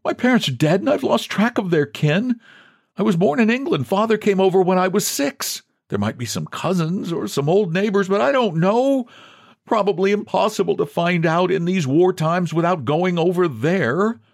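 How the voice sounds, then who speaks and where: A man's voice: high-pitched, expressive, normal speed, vocal fry, pitched above the performer's own voice, heavily acted. A man voicing a desperate, timid, haggard man with a pleading, wailing manner in a story reading. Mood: despairing.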